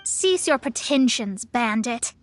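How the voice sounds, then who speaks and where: A woman's voice: a little high, animated, takes it slowly, a little hiss or hum. An actress playing a proud young female astrologer in a video game, speaking in a pompous, formal, theatrical way and easily flustered. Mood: curt, dismissive. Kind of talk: video game character acting.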